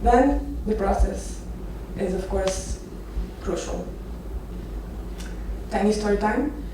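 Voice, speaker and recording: Female presenter from Romania, 30s, relaxed storytelling with hesitant phrasing; captured distantly in a reverberant venue with clear background noise. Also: medium pitch, slow. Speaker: architecture photographer giving a conference talk. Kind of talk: informal presentation with personal stories. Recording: echoey noisy venue, distant microphone.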